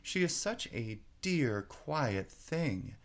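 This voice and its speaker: A man's voice: mid-range voice, very animated, extremely slow, full character voice. A man voicing a small, earnest, polite and fretful girl in a storybook reading. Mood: apologetic, soothing. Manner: earnest, wondering, apologetic.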